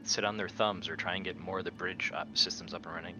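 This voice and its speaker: A man's voice: low voice, steady tone, fast, over music, rough recording. A man playing a tabletop game, talking through it casually in a blunt, argumentative way.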